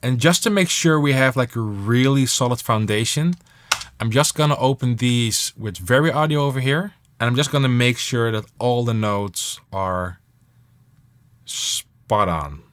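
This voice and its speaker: A man's voice: mid-range voice, a little slow, a bit bright, a little hiss or hum. A man talking casually as an instructor, explaining music production steps while he demonstrates them.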